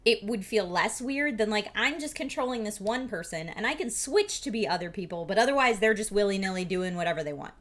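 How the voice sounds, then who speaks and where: A woman's voice: medium pitch, brisk, few pauses. A woman co-hosting a casual video-game chat show, talking in a chatty way and asking many questions. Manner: chatty and animated, asks lots of questions. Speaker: co-host.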